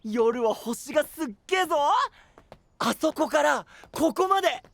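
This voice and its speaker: An actor playing an eager, boyish young knight in an anime voice drama, cheerful and casual in manner. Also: male voice, extremely high pitch, unhurried, vocal fry, no echo, heavily acted. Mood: excited. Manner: excitable, casual, rustic, boyish.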